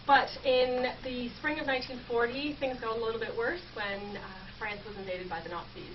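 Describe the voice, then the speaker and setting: A woman's voice: fairly high pitch, fairly even intonation, brisk, far from the mic. A young adult woman explaining her research informally as a guest in a radio interview. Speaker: guest researcher, history PhD student. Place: campus radio station. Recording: muffled, noisy, off-mic radio audio.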